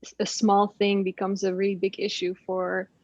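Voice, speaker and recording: A woman's voice: medium pitch, monotone, normal speed, no echo, dull audio. A woman whose first language is Dutch, talking in a casual interview with many fillers and frequent laughs. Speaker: podcast guest. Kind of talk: casual conversation.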